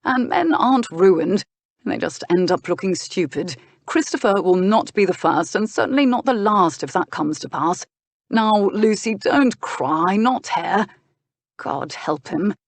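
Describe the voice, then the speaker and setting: Exasperated period gentleman read by a woman narrator, measured, dry, reasoning, for a romance audiobook. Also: mid-range voice, expressive, dry recording. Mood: exasperated, patient. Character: patient, exasperated gentleman, brother of a duchess. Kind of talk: historical romance audiobook character.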